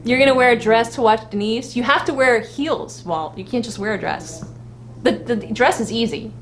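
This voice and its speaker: A woman's voice: fairly high pitch, normal speed. A woman co-hosting a casual live fan vlog, talking in a rambling, repetitive, slangy way. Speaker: vlog co-host. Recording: noisy live-stream audio with hum.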